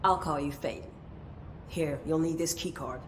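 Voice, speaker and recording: An actress playing a brisk, commanding woman scientist in a game. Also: sits low, a little hiss or hum, natural voice. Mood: firm. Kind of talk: game voice acting.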